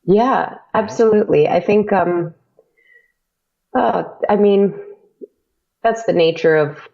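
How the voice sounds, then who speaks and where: Gravelly woman in dull lo-fi audio. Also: mid-range voice, takes it slowly. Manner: chatty, rambling, laughs often. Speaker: audio drama podcaster, guest. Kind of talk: casual interview chat.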